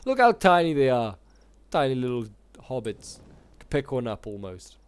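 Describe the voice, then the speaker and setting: A man with a sore throat, slightly hoarse, giving casual, rambling commentary on a strategy game battle with lots of fillers. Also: medium pitch, big pitch swings, normal speed. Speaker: gaming YouTuber casting a multiplayer battle.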